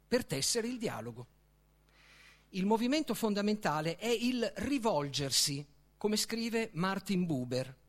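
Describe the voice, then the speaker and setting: Male author-reader, high-pitched voice with lively intonation, theatrical and deliberate, for expressive literary prose. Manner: solemn, theatrical, deliberate phrasing with dramatic pauses. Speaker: author reading from their own book. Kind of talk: expressive literary reading aloud.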